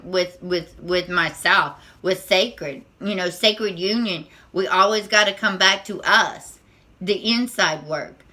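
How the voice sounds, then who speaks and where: A woman with a squeaky, bright, sharp-edged voice gives an unscripted spiritual talk to camera. She talks nervously and haltingly, often restarting and repeating words. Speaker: spiritual tarot vlogger.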